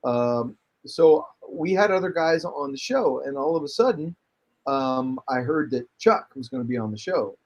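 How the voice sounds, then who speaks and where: A husky-voiced man talks through a long, rambling story in casual conversation. He restarts his sentences often and gets animated as he goes. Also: mid-range voice, normal speed, lo-fi sound. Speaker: guest musician. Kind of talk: casual chat.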